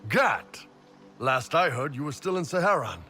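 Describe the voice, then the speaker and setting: An actor playing a big, laid-back horned mercenary warrior in a video game, talking bluntly and casually. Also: male voice, fairly high pitch, big pitch swings, normal speed, bright, forceful tone, faint music behind. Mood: hearty.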